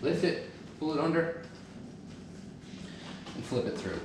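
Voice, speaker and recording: A man's voice: a little high, lively intonation, takes it slowly. Man giving DIY steps in echoey room. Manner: practical step-by-step, talks while kneeling and lifting. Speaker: DIY home channel host. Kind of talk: casual how-to instruction while working. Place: large carpeted family room at home. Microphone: camera mic from a distance. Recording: echoey room, some noise.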